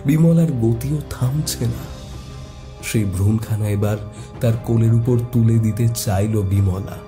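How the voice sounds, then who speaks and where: Slow, dark-voiced male horror narrator. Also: on the low side, soft background music. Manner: suspenseful, deliberate. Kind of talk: dramatic scripted horror story narration.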